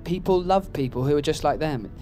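A man's voice: fairly high pitch, brisk, mellow, faint music behind, natural voice. A man playing a teasing but concerned friend in an audio drama, casual and wry, with an American accent. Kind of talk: naturalistic audio drama acting.

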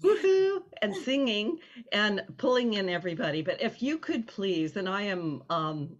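A woman's voice: medium pitch, sing-song, normal speed. Dull lo-fi audio, effusive woman host. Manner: effusive, rambling. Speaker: interview host. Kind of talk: casual conversational interview.

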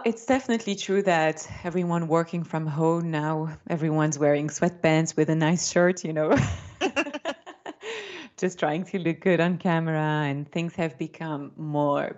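A woman's voice: low-pitched, lively intonation, a little slow, a little mellow, highs cut off. A woman from Belgium whose first language is French, speaking as a guest expert in a radio interview in an earnest, conversational way. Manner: conversational, earnest, explains with examples.

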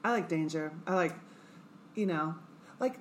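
Low-voiced woman, loose and profane. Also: a little slow, a little hiss or hum. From Kansas. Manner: loose and profane, many fillers, laughs often. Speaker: guest performer and singer. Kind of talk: casual interview chat.

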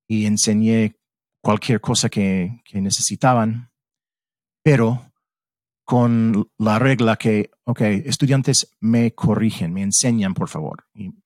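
A man's voice: fairly low pitch, a little mellow, dry recording. A middle-aged man from Mississippi who speaks with an American English accent. He talks as a podcast guest, reflective and searching for words in his second language. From Mississippi, USA. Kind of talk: casual interview chat.

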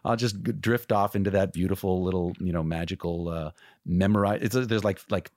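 Deep-voiced guy with american accent, greek first language, super animated, lots of restarts, dry sound. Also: fairly quick, no echo. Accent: General American. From Toronto, Canada. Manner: animated, excitable, with restarts and impressions. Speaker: voice actor. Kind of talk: casual interview chat.

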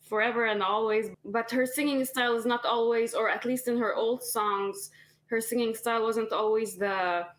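A woman's voice: on the high side, fairly even intonation, moderate pace. A woman in her twenties, a singer-songwriter guest on a podcast, talking casually with lots of fillers and restarts. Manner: chatty, many fillers and restarts.

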